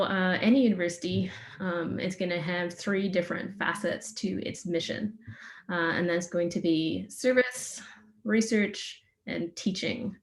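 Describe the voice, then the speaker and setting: A woman in her forties from Trinidad with a Trinidadian accent, a veterinarian giving a friendly, enthusiastic science talk with some fillers. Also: on the low side. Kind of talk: informal science presentation. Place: remote online session.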